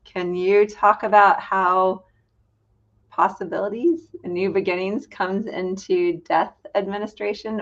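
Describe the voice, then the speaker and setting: A middle-aged woman hosting a casual interview, with informal, halting phrasing. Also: medium pitch, highs cut off. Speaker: podcast host.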